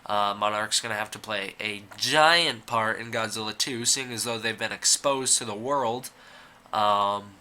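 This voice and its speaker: Bright-voiced young man with a cold. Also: fairly low pitch, measured pace. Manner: rambling, opinionated, frequent "uh" and "like". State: fighting a cold. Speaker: YouTuber. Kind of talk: casual opinion commentary.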